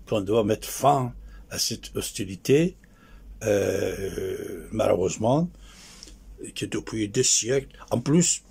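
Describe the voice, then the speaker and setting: Male political commentator, gravelly and raspy, emphatic exhorting delivery, hammers phrases by repetition. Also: on the low side, darkish tone. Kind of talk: impassioned political monologue with anecdotes.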